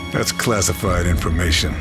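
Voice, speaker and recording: An actor playing a stoic Allied sniper agent in a video game, terse and deadpan. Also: male voice, low voice, normal speed, over music, natural voice. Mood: dry.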